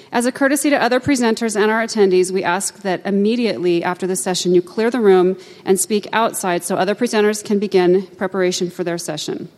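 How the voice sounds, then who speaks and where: A woman's voice: mid-range voice, long reverb tail. A woman moderating a conference session, reading formal announcements and a speaker introduction from a script. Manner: formal, reads from a script, brisk. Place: conference room.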